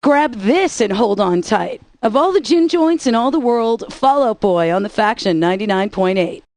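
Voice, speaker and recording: A woman's voice: a little high, expressive, moderate pace, a little mellow. A woman radio DJ with sarcastic, wisecracking scripted patter, recorded close on a studio mic.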